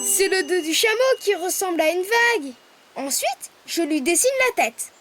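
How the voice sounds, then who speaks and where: A woman's voice: extremely high pitch, expressive, moderate pace. A woman voicing a small, friendly young male character who cheerfully explains step by step in a children's cartoon. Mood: cheerful, instructive. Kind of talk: children's cartoon voice acting, drawing tutorial. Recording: music under the voice.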